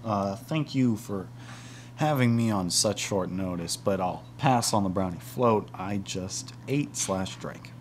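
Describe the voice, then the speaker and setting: A man's voice: fairly low pitch, lively intonation, slow, slight background noise. A young man playing a cocky, bumbling undercover agent in an amateur comedy sketch.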